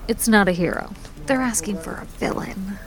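An actress playing a serious woman who gives the team intelligence briefings in a measured way. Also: medium pitch, natural voice. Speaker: briefing officer Angie.

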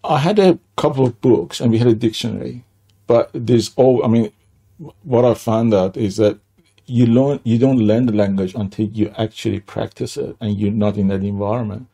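Gravelly older man with Persian accent. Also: lowish voice, steady tone, mellow. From Iran. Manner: halting, many restarts and fillers. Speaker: guest. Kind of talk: personal storytelling interview.

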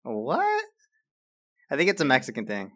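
Man, podcast co-host, lively swooping pitch, teasing, quick questions. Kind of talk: casual chat.

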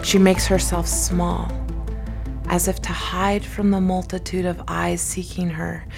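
An actress playing a wistful, solemn narrator, telling a story in measured, emotive scripted narration. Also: female voice, on the low side, level tone, gravelly, faint music behind.

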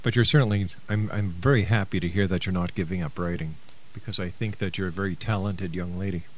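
Male radio talk host, low voice, interview and announcer duties. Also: steady tone, fast talker, a little hiss or hum. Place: radio studio.

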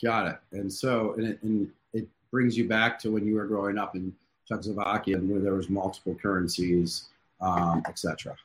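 A man's voice: sits low, little pitch movement, a little fast. A man hosting an interview in a casual style, asking his guest questions. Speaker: interview host. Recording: echoey, roomy sound.

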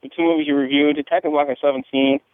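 A man's voice: on the high side, flat delivery, rapid-fire, no room sound. A man from New Jersey rambling through a casual, profane, slangy listener message with hesitant phrasing. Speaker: listener leaving a call-in message. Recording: muffled, narrow phone-like line, dry.

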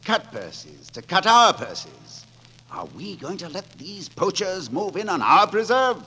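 Gallant rogue poet, wide-swinging declamatory delivery, 1940s radio drama, music behind. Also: male voice, sits high, big pitch swings, measured pace, sharp tone, slightly rough, full character voice, rough recording. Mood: rousing, defiant. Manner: theatrical, poetic, declamatory. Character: gallant, roguish male gutter poet and rabble leader.

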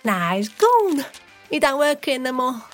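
An actress playing a blunt, offhand office receptionist with casual working-class speech in an audio drama. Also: female voice, sits high, sing-song, measured pace, soft background music. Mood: bored, offhand. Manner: offhand, blunt, drawling, ungrammatical.

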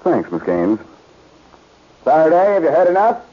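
An actor playing a cool, sardonic amateur sleuth with a wry, taunting manner in 1940s radio drama style. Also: male voice, a little high, sing-song, moderate pace, a little hiss or hum. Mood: cool probing. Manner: wry, smooth-tongued, taunting. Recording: muffled vintage radio recording.

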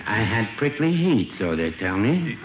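An actor playing a mild, older shopkeeper with dry, hesitant understatement in a 1950s radio comedy. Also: male voice, on the low side, big pitch swings, unhurried. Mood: mild, droll. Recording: muffled, noisy old radio recording.

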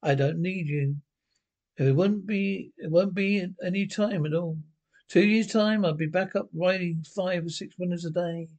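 A man's voice: high voice, measured pace, a little mellow. A man doing a snarling washed-up jockey. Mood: defensive. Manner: snarling, defensive. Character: bitter, boastful short male ex-jockey.

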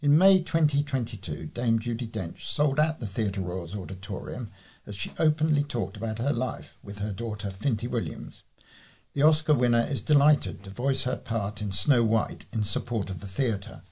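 A man's voice: mid-range voice, very animated, normal speed, mellow, slightly rough. A man reading local news aloud as a volunteer newsreader.

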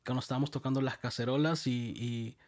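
A man's voice: mid-range voice, brisk. Man from El Salvador, easygoing interview guest, storytelling with lots of restarts. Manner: relaxed, rambling, many restarts. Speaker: guest, music school director.

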